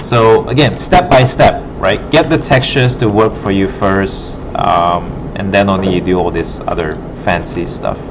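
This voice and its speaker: A man's voice: low voice, unhurried, hardly any pauses. A man giving an informal classroom art demonstration, thinking aloud casually as he works. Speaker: digital art instructor. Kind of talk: informal classroom demonstration, narrating while working. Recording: muffled, broken audio with loud room hum.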